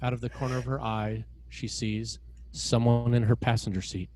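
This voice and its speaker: A man narrating screenplay action and scene headings in a measured, dramatic way, in short phrases. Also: medium pitch, flat delivery, a little mellow, slight background noise.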